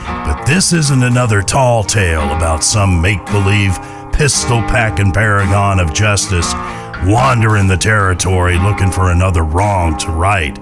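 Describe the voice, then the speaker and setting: Man as low-voiced Old West storyteller over guitar. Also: moderate pace, natural voice. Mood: grave. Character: folksy Old West storyteller, grave and wry. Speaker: narrator. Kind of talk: scripted dramatic narration. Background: guitar music.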